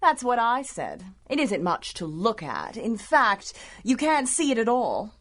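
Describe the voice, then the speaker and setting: A woman's voice: on the high side, sing-song, unhurried. A woman playing a cool, confident, taunting villainess in a 1930s-style radio adventure serial. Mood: explaining coolly. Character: cool, dangerous female villain, proud rival of the heroine.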